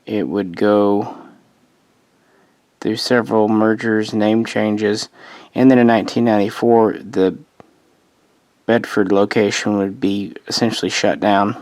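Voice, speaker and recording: A man's voice: lowish voice, level tone, a little slow, pauses often, darkish tone, no echo. A man losing his voice, casually narrating a history explainer as host, rambling and correcting himself with offhand asides.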